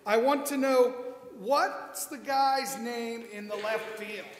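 A middle-aged man reading a classic comedy routine on stage, exasperated, animated and a little halting. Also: very high-pitched. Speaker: amateur comedy performer. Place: small indoor stage in a hall. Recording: echoey, noisy hall from a distance.

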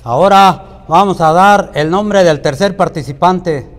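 A man playing a gruff, dismissive talent show judge in a comedy sketch. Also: high-pitched, a little slow.

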